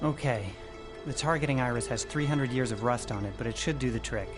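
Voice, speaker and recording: A man voices an anxious, easily exasperated spaceship survivor in a video game. He speaks in a mid-pitched, conversational, dry way, earnest underneath. Game music plays clearly behind him. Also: brisk, natural voice. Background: game background music.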